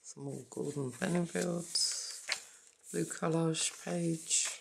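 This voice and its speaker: Woman papercraft host, hoarse and croaky, slow and halting, faint noise behind. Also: sits low, fairly even intonation. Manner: quiet, halting, naming items one by one. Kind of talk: casual journal flip-through.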